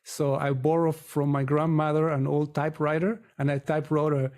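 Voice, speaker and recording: A man's voice: a little high, level tone, moderate pace, darkish tone. A middle-aged man from Chile, a Spanish speaker, telling his story conversationally as an interview guest. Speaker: podcast guest, mortgage broker.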